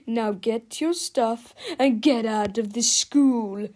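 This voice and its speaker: A woman voicing a mean male school principal in a children's story, shouting and gloating. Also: on the high side, takes it slowly. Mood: gloating, angry.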